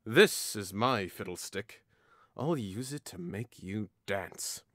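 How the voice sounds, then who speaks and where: A man's voice: on the low side, expressive, slow pace, fairly bright tone, no room sound, clean studio sound. A man playing a hot-headed, mocking young nobleman in an audio drama, with a theatrical, taunting delivery. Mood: scornful, provoking.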